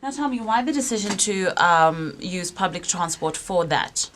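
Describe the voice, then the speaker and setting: A woman hosting a radio breakfast show, asking brisk, prompting questions. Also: fairly low pitch, expressive, a bit bright, slight background noise. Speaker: radio host. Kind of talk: radio interview. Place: radio studio.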